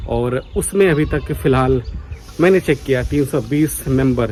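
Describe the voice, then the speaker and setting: A man's voice: medium pitch, dark tone. An Indian man talking casually and earnestly to his viewers as a vlogger, mixing in English words. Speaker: vlogger, radio enthusiast. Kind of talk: casual vlog talk to viewers. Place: outdoors on a foggy morning.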